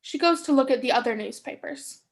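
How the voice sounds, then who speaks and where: A woman's voice: high voice, fairly quick. A young woman reading stage directions as scripted narration in a staged play reading. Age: young adult.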